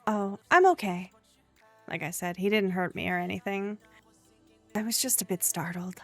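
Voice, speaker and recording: A woman's voice: mid-range voice, fairly even intonation, normal speed, a bit bright, soft background music, pitched below the performer's own voice. A woman voicing a guarded, awkward young woman who narrates her visual novel story in a subdued, wry manner. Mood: startled, pensive.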